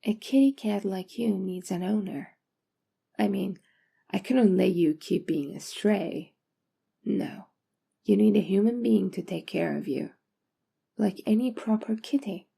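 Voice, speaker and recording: A woman acting a slow, cooing, possessive captor with vocal fry. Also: medium pitch, warm tone, full character voice. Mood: coaxing, possessive. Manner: slow, teasing, cooing, condescending, often whispering. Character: possessive, coaxing female owner doting on a captive pet. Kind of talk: intimate ASMR roleplay, often dropping to whispers.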